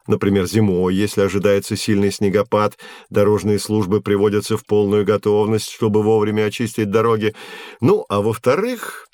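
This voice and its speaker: An actor playing a patient male physics professor in an educational audio drama, explaining clearly and didactically. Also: fairly low pitch, moderate pace, darkish tone, no echo, lightly acted. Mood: patiently explaining.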